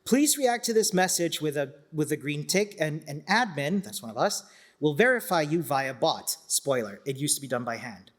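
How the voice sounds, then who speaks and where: Higher-pitched man giving an informal talk. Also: lively intonation, normal speed. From Chile. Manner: enthusiastic, self-deprecating, conversational asides. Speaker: conference speaker, community organiser.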